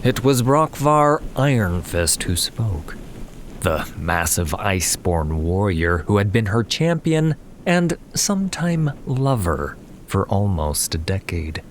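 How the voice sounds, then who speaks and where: A man narrating a fantasy audiobook in a dramatic, measured and solemn scripted style. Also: fairly low pitch, very animated, a little hiss or hum. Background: music.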